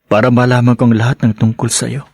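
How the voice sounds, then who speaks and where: An actor playing a devoted, humble man in love in a radio drama, speaking haltingly and earnestly. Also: medium pitch, flat delivery, darkish tone, husky, no echo, studio quality. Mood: earnest, lovestruck.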